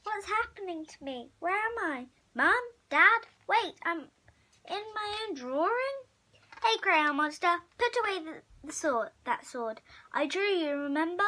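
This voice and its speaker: Very high-pitched child reading a story. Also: lively intonation, sharp tone. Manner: acts out the story's child hero, halting over some words. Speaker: story reader. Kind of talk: expressive read-aloud of a picture storybook.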